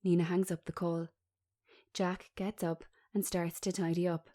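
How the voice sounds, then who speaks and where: A woman's voice: fairly low pitch, little pitch movement, unhurried, warm tone. A woman narrating a script, reading out stage directions in short present-tense sentences.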